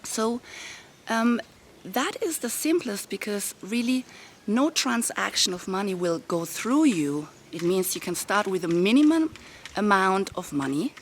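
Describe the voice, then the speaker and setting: A woman with a rough voice, giving upbeat step-by-step business advice to camera from a garden outdoors. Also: mid-range voice, animated, measured pace, slight background noise. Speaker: business coach. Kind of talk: casual talk to camera. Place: garden of a private villa, outdoors.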